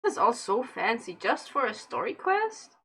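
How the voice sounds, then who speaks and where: A woman with a husky voice chatting casually as she reacts to a game on a livestream. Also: on the high side, big pitch swings, normal speed, fairly bright tone, faint music behind. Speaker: game streamer.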